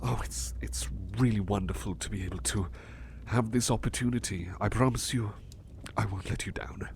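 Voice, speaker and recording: A man playing an eager, courteous butler in an ASMR roleplay, speaking formally and politely with flustered, excitable stammering. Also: lowish voice, normal speed, a little hiss or hum, lightly acted. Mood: excited, polite. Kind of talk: ASMR roleplay acting, personal attention.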